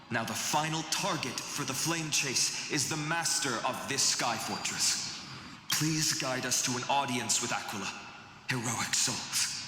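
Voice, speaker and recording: A man's voice: mid-range voice, bright, forceful tone. An actor playing a courteous, steadfast young swordsman hero in a fantasy game, speaking with polite, formal assurance. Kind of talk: scripted fantasy game acting. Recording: echoey hall reverb, music behind.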